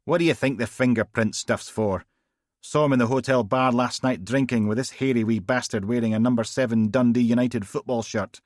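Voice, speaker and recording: A man's voice: mid-range voice, brisk, no echo, clean studio sound, voice pushed up, natural voice. A man voicing a sarcastic, bossy middle-aged female detective.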